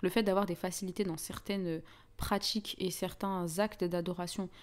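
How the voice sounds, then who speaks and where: A woman's voice: lowish voice, fairly even intonation, moderate pace. A young woman with a Parisian French accent chats informally and slangily with viewers as host of a live stream. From France (Paris). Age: young adult. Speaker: livestream host. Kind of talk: casual live chat with viewers.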